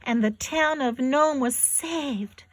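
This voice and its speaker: A high-pitched woman reads a children's story aloud. Her delivery is dramatic and emphatic, aimed at young listeners. The sound is close and dry. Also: slow pace, dry recording. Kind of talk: expressive read-aloud for children.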